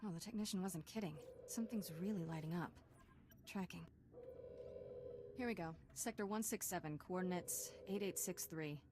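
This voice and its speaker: A low-pitched, sardonic young Jedi woman, as an actress voices her for a space-fantasy game. She talks with dry wisecracks. A steady hiss sits under the game audio. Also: close to an everyday voice. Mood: wry. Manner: sarcastic, wry, quick-witted. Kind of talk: video game dialogue.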